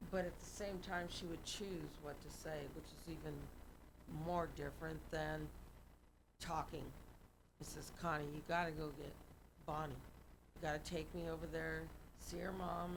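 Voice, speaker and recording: A middle-aged woman, a guest, telling a story in an animated, emphatic way. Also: sits low, moderate pace, vocal fry, noisy background. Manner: animated, emphatic, quotes voices in her stories. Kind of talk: casual storytelling.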